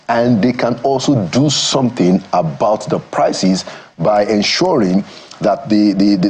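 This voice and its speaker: Husky low-voiced Nigerian man. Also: a little mellow. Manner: measured, thoughtful, stops to find words. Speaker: studio guest, former government aide. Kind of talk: morning TV interview. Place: TV studio.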